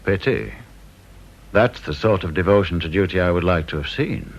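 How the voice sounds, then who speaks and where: Teasing senior interviewer, British, low-pitched, husky, dry mock courtesy, radio play. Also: male voice, moderate pace. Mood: sardonic, probing. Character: sardonic, talkative male senior security interviewer. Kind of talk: radio drama acting. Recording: dull, muffled old radio recording.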